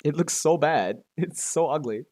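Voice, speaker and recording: A man's voice: fairly high pitch, lively intonation, moderate pace, darkish tone. A man giving casual, offhand live commentary as a game streamer, muttering to himself and swearing freely.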